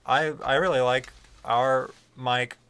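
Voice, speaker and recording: A man with a fairly low voice, chatting casually on a livestream and rambling with many fillers and restarts. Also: steady tone, takes it slowly, a bit bright, slight background noise. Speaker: streamer talking about microphones.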